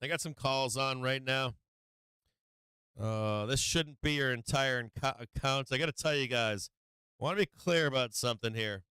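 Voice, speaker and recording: A man hosts a live trading stream with a loose, profane, filler-heavy manner. His voice is strikingly clear and smooth, though it has been wearing out this week. The sound is dry and close, with no room around it. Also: medium pitch, moderate pace, sharp tone, dry recording. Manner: loose and profane, many fillers, laughs often. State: losing his voice. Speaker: stock market livestream host. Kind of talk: casual trading commentary.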